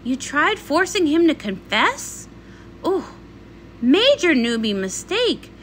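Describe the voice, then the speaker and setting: Comic read-along, woman playing young female pony, blunt, teasing, animated high voice, faint noise. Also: slow, heavily acted. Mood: smug, scolding.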